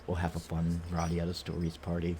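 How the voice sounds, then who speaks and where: A man's voice: low-pitched, completely flat delivery, fairly quick, dark tone, a little hiss or hum. A man in his late twenties chatting casually and playfully as he streams a game, rambling and interrupting himself. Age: late 20s. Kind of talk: casual live gaming commentary and chat.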